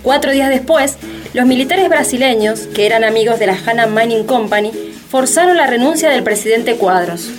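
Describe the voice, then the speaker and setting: A woman's voice: on the high side, expressive, fairly quick, lightly acted. A woman narrating scripted documentary radio theatre, with guitar music behind her.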